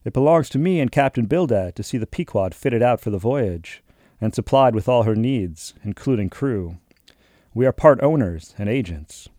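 A gruff old sea captain, put on by a male narrator, loud and raised in pitch over the reader's own voice, blustering and suspicious. He fires question after question in old-fashioned speech. The tone is dark and the sound is dry and close. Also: lively intonation, moderate pace, no room sound, natural voice.

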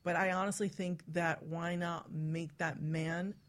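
A woman's voice: lowish voice, steady tone, a little slow. A woman hosting a casual radio panel chat, talking bluntly and swearing freely. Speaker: radio show host.

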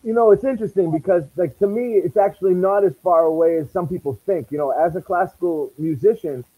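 A man's voice: high voice, brisk, husky, no echo, phone-quality sound. A man with a Boston accent, a musician answering interview questions in a conversational way. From Massachusetts, USA. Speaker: musician guest.

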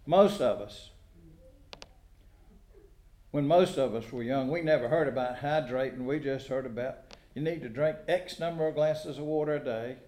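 An American man preaching a sermon in a folksy, conversational way, pausing deliberately between phrases. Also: medium pitch, darkish tone. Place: church sanctuary during a service. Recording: echoey room sound.

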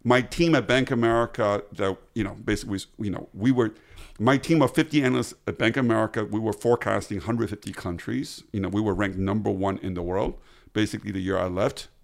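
A man's voice: fairly low pitch, fairly quick, gravelly. A middle-aged man born in the US and raised in Taiwan, a guest economist answering interview questions with emphasis, often repeating words as he starts. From born in the US, grew up in Taiwan.

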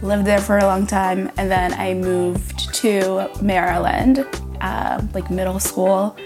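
A woman's voice: mid-range voice, steady tone, slow, over music. Chatty young woman from Zimbabwe. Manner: chatty, frequent "like" fillers. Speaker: podcast guest. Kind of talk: casual interview chat.

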